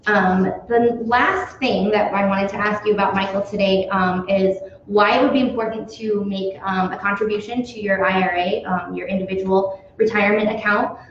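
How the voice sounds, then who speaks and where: A woman's voice: mid-range voice, fairly even intonation, normal speed, hardly any pauses, a little hiss or hum. A young woman hosting a live question-and-answer in an office, friendly, with many "um"s. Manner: friendly, lots of "um"s. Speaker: host. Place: small office room. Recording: very echoey, dull office recording.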